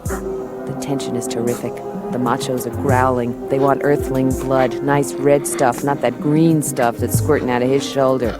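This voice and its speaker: A woman's voice: rumbling low, flat delivery, normal speed. A woman narrating a hardboiled radio play as the tough, wisecracking detective lead. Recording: radio play with music underneath.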